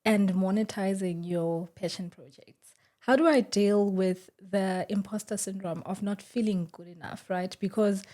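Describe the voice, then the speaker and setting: A woman's voice: mid-range voice, measured pace. Woman podcast host asking questions. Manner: warm affirming listener, asks questions. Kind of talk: casual interview chat.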